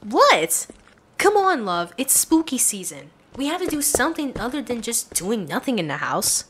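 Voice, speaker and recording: A woman's voice: fairly high pitch, sing-song, normal speed, a little hiss or hum. A woman performing a girlfriend roleplay for listeners, coaxing and affectionate. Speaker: ASMR roleplay creator.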